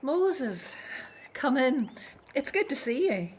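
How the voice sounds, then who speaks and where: Devout Hebrew elder sister, fairly high voice, caring, anxious, earnest, radio drama. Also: female voice, big pitch swings, slow, noisy background, exaggerated voice. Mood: welcoming, concerned.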